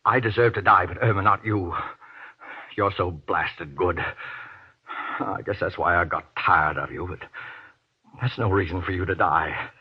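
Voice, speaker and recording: An actor playing a desperate, guilt-ridden husband in a 1940s radio horror play, pleading in halting, anguished, melodramatic bursts. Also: male voice, sits low, breathy edge. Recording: muffled vintage radio recording.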